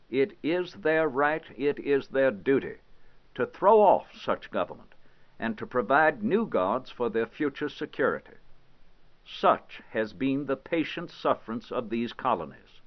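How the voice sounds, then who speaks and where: A man playing a stately, indignant 18th-century American statesman, reading a formal document aloud in measured, emphatic phrases. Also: mid-range voice, muffled recording. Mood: solemn, reasoned. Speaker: historical interpreter.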